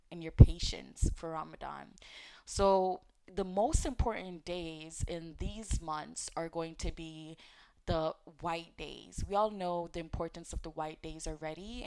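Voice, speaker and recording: A woman hosting a relaxed religious teaching talk, speaking straight to her viewers in a conversational, encouraging way, with fillers and self-corrections along the way. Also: on the low side, smooth, poor audio. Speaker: host of an Islamic teaching channel.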